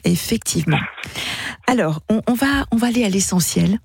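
A woman's voice: medium pitch, lively intonation, moderate pace, creaky, a little mellow, breathy edge, no room sound, studio quality. A woman hosting a live radio phone-in, talking conversationally and often searching for her words.

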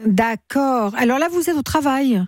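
A woman's voice: high voice, lively intonation, a little slow, darkish tone, no echo, studio quality. A woman hosting a late-night radio phone-in, welcoming and attentive toward her callers. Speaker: call-in show host. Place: radio studio.

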